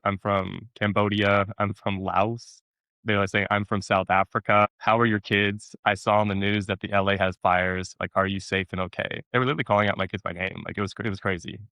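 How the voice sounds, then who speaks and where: A man chatting casually as an interview guest, telling stories in an animated way, repeating words for emphasis and acting out quotes. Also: lowish voice, no echo. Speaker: actor being interviewed.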